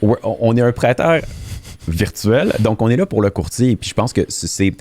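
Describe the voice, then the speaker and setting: Man, very deep voice, earnest explainer, dry close mic. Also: sing-song, normal speed, a little mellow, dry recording. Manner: earnest, explanatory, stumbles and repeats words. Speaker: mortgage industry guest. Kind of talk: interview answers.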